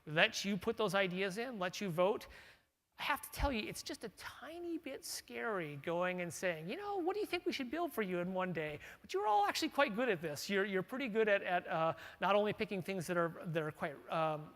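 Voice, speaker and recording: A man's voice: high-pitched, sing-song, fairly quick, fairly bright tone, in a large hall. A middle-aged man giving a casual conference keynote as a company tech chief, talking in a loose way with fillers and restarts. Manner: conversational, with frequent fillers and restarts. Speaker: company CTO presenting product strategy. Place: large conference hall.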